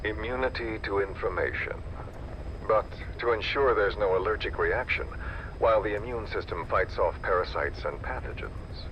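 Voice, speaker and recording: A man's voice: fairly low pitch, lo-fi sound, lightly acted. A man playing a scarred, taunting villain. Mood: cold. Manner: slow, taunting, coldly polite. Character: scarred, cold, scheming male villain, middle-aged. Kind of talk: video game cutscene acting. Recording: game mix with loud background noise.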